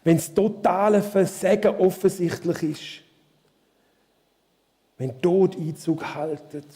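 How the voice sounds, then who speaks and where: Slow-preaching Swiss man in a reverberant hall. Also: high-pitched, warm tone. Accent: Swiss German. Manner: slow, searching delivery with questions to the congregation and restarts. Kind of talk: live sermon. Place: church hall.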